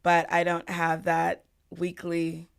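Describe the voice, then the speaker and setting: A woman's voice: fairly low pitch, completely flat delivery, slow, creaky, smooth. A woman from the Bahamas and Florida answering interview questions as a guest in a reflective, conversational way. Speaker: podcast guest, family law attorney.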